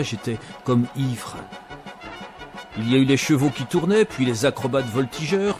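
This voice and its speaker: An actor playing a man who recounts a childhood memory in an audio drama, moving between hushed and excited storytelling. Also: mid-range voice, lightly acted. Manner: expressive, swinging from hushed to excited. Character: man recounting his childhood circus mishap, wistful, vivid storyteller. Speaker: narrator of an audio fiction. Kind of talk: dramatised first-person storytelling. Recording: music bed under the voice.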